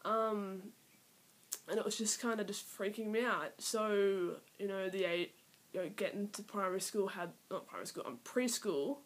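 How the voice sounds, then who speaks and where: A woman's voice: medium pitch, normal speed. A nineteen-year-old Australian woman talking nervously to her camera in a first personal vlog, rambling with many fillers and sometimes trailing into a near-whisper. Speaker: vlogger. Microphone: iPad built-in mic.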